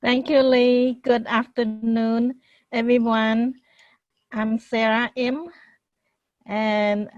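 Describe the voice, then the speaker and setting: Middle-aged woman co-host, slow earnest talker, dry dull line. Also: a little high, no room sound. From Cambodia. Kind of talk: self-introduction on a show. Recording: dull lo-fi sound.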